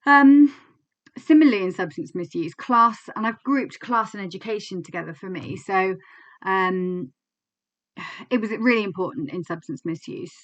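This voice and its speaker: A woman's voice: mid-range voice, animated, moderate pace, highs cut off. An English woman in her twenties, a clinical psychologist, giving a candid, reflective teaching talk to camera with frequent fillers and restarts. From England.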